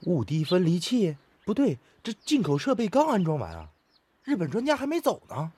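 An actor playing an eager young factory technician in a radio drama, quick to respond. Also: male voice, high-pitched, huge pitch swings, a little mellow, breathy edge, no echo, exaggerated voice. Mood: eager, puzzled.

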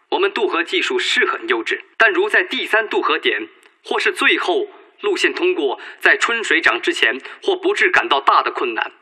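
Bright, forceful Red Army officer. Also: male voice, a little high, husky, full character voice. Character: earnest, respectful male Red Army political officer. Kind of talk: Chinese radio drama acting, formal reading.

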